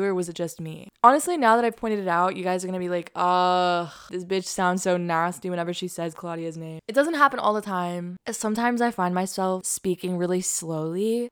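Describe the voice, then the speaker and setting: A woman's voice: mid-range voice, clean tone, no room sound, clean studio sound. A young woman hosting a podcast alone, rambling in casual, self-deprecating chat with a slight lisp. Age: young adult. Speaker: podcast host.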